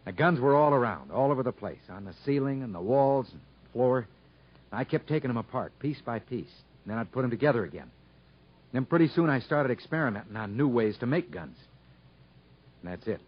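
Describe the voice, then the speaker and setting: An actor playing a stubborn, plain-spoken convict gunsmith with a rural American accent in a 1950s radio drama. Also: male voice, medium pitch, lively intonation, a little fast, husky. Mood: reflective, earnest. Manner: folksy, ungrammatical, earnest speech. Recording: muffled vintage 1950s radio recording.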